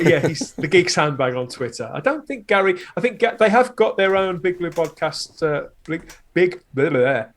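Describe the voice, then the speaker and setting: Higher-voiced man chatting as podcast guest. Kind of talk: casual chat, reviewing podcasts.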